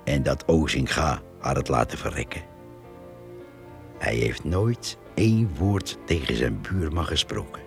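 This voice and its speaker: A grave old countryman, reminisces in a radio drama. His voice is extremely low and gravelly, and he takes his time, ruminative and resigned, over faint music. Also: heavily acted. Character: grave old countryman recalling his neighbour with slow melancholy. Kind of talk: Dutch radio play monologue.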